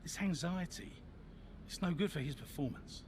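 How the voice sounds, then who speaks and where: An actor playing a firm, no-nonsense racing team boss in a video game, terse and commanding. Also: male voice, a little high, moderate pace, background noise, lightly acted. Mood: stern. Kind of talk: cinematic video game dialogue.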